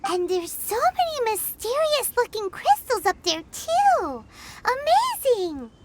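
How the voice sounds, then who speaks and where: Squeaky, excitable little fairy companion. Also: female voice, slow, very noisy, heavily acted. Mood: curious, chirpy. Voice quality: squeaky, childlike. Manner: speaks of herself in third person, exclamatory. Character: tiny chirpy floating fairy companion, excitable, easily spooked. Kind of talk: video game character acting. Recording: game audio with loud music.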